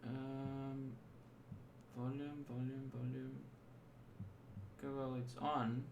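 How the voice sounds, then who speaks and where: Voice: mid-range voice, flat delivery, takes it slowly, creaky, a little mellow, muffled recording. A helper in the background chatting casually, heard faintly from away from the microphone. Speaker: off-mic helper. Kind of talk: casual chat. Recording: faint, slightly noisy, off the mic.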